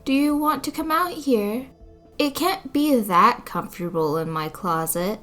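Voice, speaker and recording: A woman's voice: a little high, measured pace, fairly bright tone, faint music behind. A woman voicing an earnest, lonely and proud little boy of about six, eager and a bit haughty, in a storybook reading. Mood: friendly, reassuring.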